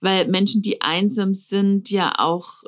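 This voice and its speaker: A woman's voice: mid-range voice, steady tone, slow pace. A woman in her fifties, a social pedagogue and podcast guest, who explains things step by step with small self-corrections and fillers. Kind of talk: podcast interview.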